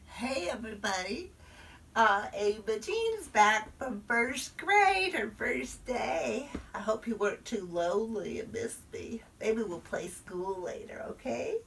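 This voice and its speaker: A woman's voice: fairly high pitch, expressive, slow, creaky, a bit bright, distant mic, exaggerated voice. A woman doing a chatty little first-grade girl. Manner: breathless childlike chatter, confiding in listeners. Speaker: storyteller. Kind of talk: performed storytelling.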